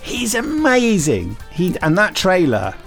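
A man co-hosting a podcast, reading out news in casual chat with dry jokes that trail off quietly. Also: sits high, huge pitch swings, takes it slowly, creaky, faint music behind. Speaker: podcast co-host.

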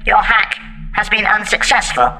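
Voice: mid-range voice, animated, normal speed, pushed and bright, rough recording. An actor playing a literal-minded squid alien in an armoured exoskeleton for a science fiction audio drama, formal and apologetic, with an electronically processed voice. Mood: politely menacing. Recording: music behind.

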